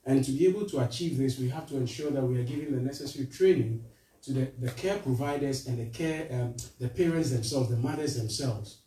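A man's voice: medium pitch, warm tone. Earnest Ghanaian man in a roomy hall. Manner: earnest, halting with fillers and restarts. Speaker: charity representative speaking to a church. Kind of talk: appeal talk in church. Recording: roomy echo, voice from far off.

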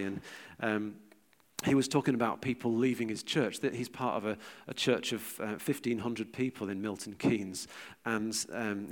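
A middle-aged British man giving an informal sermon with personal anecdotes, in a hesitant, conversational and reflective manner. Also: fairly low pitch. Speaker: church speaker giving a teaching talk.

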